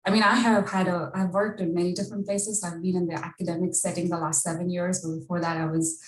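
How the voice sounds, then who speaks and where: A woman's voice: on the low side, flat delivery, fast. A woman on a college panel who reflects in a rambling way on her working life and laughs easily. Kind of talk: panel discussion. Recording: roomy audio, far from mic.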